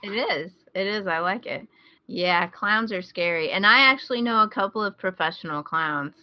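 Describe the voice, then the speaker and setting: A woman's voice: medium pitch, moderate pace, no room sound. A woman hosting a podcast, chatting casually as she gives recommendations. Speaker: podcast host. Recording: slightly dull, lo-fi close recording.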